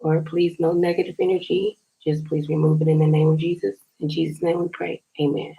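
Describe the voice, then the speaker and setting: A woman reading tarot cards for her viewers in a chatty, encouraging way, her voice a little scratchy and raspy today. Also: deep voice, flat delivery, fairly quick, phone-quality sound. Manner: chatty and encouraging, often says "you guys". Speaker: tarot card reader.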